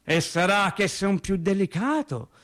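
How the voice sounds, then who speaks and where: High-voiced, exasperated working-class husband. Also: male voice, measured pace. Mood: defensive. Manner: animated, hesitant repetitions, comic indignation. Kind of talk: theatrical monologue for radio, colloquial storytelling.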